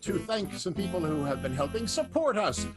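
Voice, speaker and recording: A playful male host narrates and cracks puns in a high, lively voice. He speaks over a video call. Loud guitar music plays behind him. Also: moderate pace. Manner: playful and punning. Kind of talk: jokey show wrap-up over video call.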